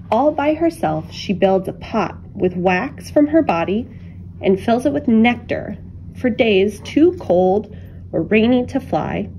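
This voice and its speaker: A woman reading a children's picture book aloud with deliberate, expressive phrasing and dramatic pauses. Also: mid-range voice, slight background noise, low-quality recording. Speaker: children's storybook reader.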